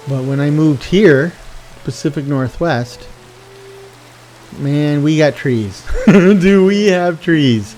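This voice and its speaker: A man's voice: a little high, slow pace, darkish tone, faint music behind. A middle-aged American man chatting casually and at length, wandering off track and correcting himself as he talks. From USA. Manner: meandering, self-correcting, reflective. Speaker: video game streamer. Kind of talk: rambling casual chat.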